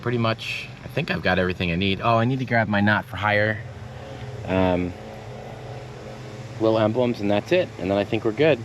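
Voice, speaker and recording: A man's voice: lowish voice, normal speed, pauses often, a little hiss or hum. A young man with a sore throat, losing his voice, talking casually to his own camera in a travel vlog, thinking aloud with lots of fillers. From Texas. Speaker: vlogger. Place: pickup truck cab while driving. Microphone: phone or action camera, self-filmed. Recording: loud music over the voice. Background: music bed.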